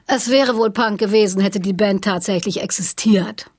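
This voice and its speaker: An actress playing a caustic woman author in a satirical radio interview, deadpan and sardonic. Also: mid-range voice, normal speed, no echo, natural voice. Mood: dry, sardonic.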